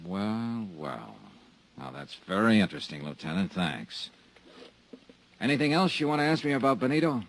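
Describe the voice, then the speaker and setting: An actor playing a wry, tough American insurance investigator in an old time radio drama, talking in casual, sardonic slang. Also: male voice, lowish voice, sing-song, normal speed. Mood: casual, probing.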